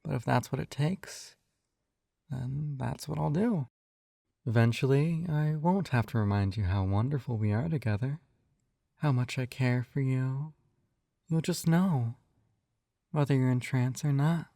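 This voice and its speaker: A man's voice: mid-range voice, a little slow, vocal fry, pauses often, mellow, no room sound, clean studio sound. A man playing a possessive, doting hypnotist captor in an intimate ASMR roleplay, coaxing and soothing, often slipping into a whisper. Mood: smug, doting. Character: possessive, doting male yandere hypnotist captor, gently controlling.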